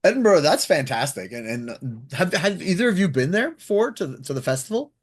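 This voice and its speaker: A man hosting a casual interview, enthusiastic and effusive, with a husky voice. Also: fairly high pitch, sing-song, brisk. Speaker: interviewer, host.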